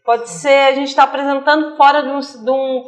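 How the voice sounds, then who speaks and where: A woman's voice: sits high, steady tone, moderate pace. Chatty Brazilian woman, echoey room. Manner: chatty, agreeing often. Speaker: theater artist. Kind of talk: casual TV interview. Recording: roomy echo.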